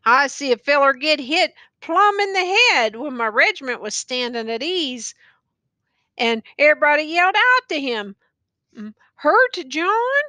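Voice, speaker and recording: A woman's voice: high voice, big pitch swings, a little slow, sharp tone, no room sound, pitched above the performer's own voice, full character voice. A woman voicing a wounded, tattered male soldier who rambles on in a slurred, folksy dialect. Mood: rambling, fretful.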